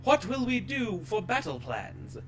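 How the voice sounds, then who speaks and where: A man's voice: sits high, wildly swooping pitch, a little slow, faint music behind, voice pushed up, heavily acted. A man voicing a cowering, whimpering servant of a king in a parody story. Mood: anxious. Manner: whimpering, servile. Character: cowering, anxious minion of a king.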